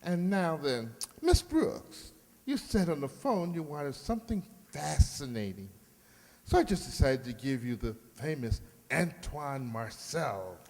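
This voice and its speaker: Flamboyant boastful hairdresser acted for radio, theatrical, wildly swinging pitch, drawn-out delivery, echoey hall. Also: big pitch swings, a little mellow. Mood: showy, excited. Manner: effusive, theatrical. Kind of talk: radio comedy acting. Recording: echoey hall, long reverb.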